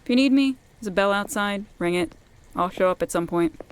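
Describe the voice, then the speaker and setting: Woman as a sassy, mid-pitched maid. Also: fairly quick, slight background noise, close to an everyday voice. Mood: brisk, casual. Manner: casual, sassy, offhand. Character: flippant, chatty female maid in a vampire household. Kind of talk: ASMR roleplay acting.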